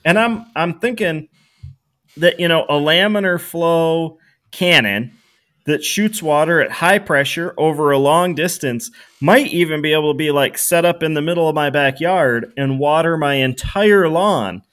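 A man brainstorming casually on a podcast in an animated, playful way. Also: fairly high pitch, a little slow. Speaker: podcast panelist pitching an invention. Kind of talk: casual excited brainstorming chat.

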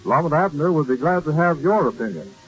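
A man's voice: a little high, sing-song, brisk. A man working as a radio announcer, reading scripted narration and sponsor messages in 1930s broadcast style. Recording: dull 1930s radio broadcast, faint music.

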